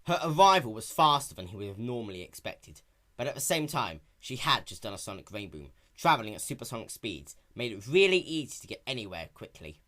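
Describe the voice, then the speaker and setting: A man's voice: lowish voice, fairly bright tone. A man narrating a scripted story as a dramatic reading. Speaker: narrator and reader.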